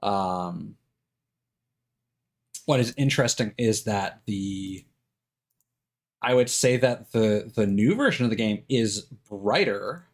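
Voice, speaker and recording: A man's voice: on the low side, lively intonation, slow, long pauses. A man doing casual, rambling live stream commentary on a game, thinking aloud in hesitant fragments.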